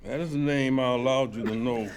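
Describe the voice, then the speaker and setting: An actor at a table read playing a grave, cryptic leader of an underground army, speaking with deliberate portent. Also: male voice, medium pitch, steady tone, a crowd in the background, close to an everyday voice. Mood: grave, mysterious. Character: solemn cryptic underground-army leader in sunglasses and leather coat. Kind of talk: live script table read, dramatic. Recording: faint noise, live room table read.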